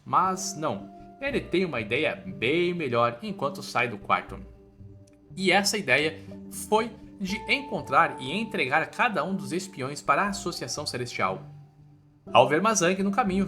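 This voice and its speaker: A man's voice: fairly high pitch, sharp tone. A man with a Brazilian accent doing scripted story narration in an informal, playful way with slangy wording. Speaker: recap narrator.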